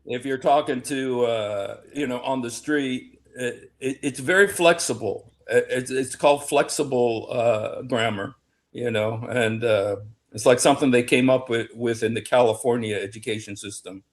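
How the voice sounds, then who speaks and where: A man, raised in the United States, talking in a casual online panel discussion, emphatic and excitable, often restarting and repeating words. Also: medium pitch, moderate pace. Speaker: panel guest.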